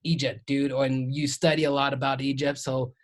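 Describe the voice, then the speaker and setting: A man's voice: medium pitch, level tone, normal speed. A man hosting a podcast in a hyped, slangy, enthusiastic way. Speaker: podcast host. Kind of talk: casual podcast chat.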